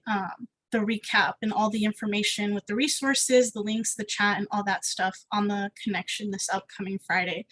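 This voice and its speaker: A woman's voice: mid-range voice, fairly bright tone, rough recording. A woman hosts a webinar over a video call, giving housekeeping notes in a casual, upbeat way with frequent fillers. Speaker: webinar host, housing organizer. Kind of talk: webinar hosting.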